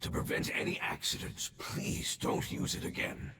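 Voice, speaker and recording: An actor playing a dying ancient male creature who speaks in a hushed, gravelly, solemn way in a game cutscene. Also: close to an everyday voice. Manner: solemn, measured farewell. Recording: echoey voice over game music.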